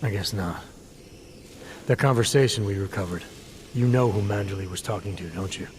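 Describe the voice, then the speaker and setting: An actor playing a terse, unflappable special agent in a video game, dry, clipped and skeptical. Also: male voice, fairly low pitch, moderate pace, background noise, lightly acted. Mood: cool, dry. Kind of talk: video game cutscene acting.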